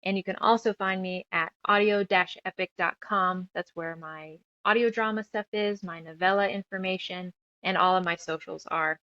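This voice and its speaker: A woman's voice: lowish voice, steady tone, moderate pace, sharp tone, no echo, highs cut off. A woman chatting casually as an interview guest, talkative and self-deprecating. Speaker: guest author and musician.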